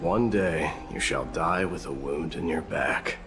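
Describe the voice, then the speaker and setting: An actor playing a stoic warrior lord in a fantasy game, speaking in a formal, measured and dignified way. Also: male voice, a bass voice. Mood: defiant. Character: stoic male warrior lord, gravely courteous and steadfast. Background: background music.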